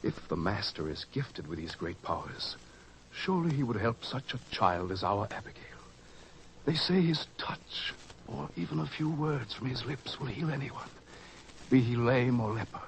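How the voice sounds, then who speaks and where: A man's voice: mid-range voice, animated, normal speed, gravelly, rough recording. An actor playing an anxious, earnest father of a sick child in a 1940s radio drama, speaking urgently. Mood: worried. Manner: urgent, pleading. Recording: old radio recording with faint hiss.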